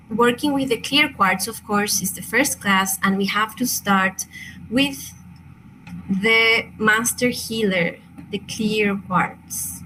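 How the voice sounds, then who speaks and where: A woman's voice: fairly high pitch, background noise. Chilean woman presenting a crystal workshop. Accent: Chilean Spanish. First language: Spanish. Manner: hesitant, apologetic, frequent fillers and restarts.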